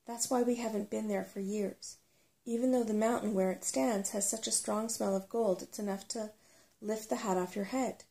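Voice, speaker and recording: Woman reading as a gruff, creaky mountain dwarf. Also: medium pitch, fairly even intonation, normal speed, mellow, natural voice. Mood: gruff, wary. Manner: gruff, grunting. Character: gruff, wary male mountain dwarf, self-important and superstitious. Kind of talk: storybook character reading.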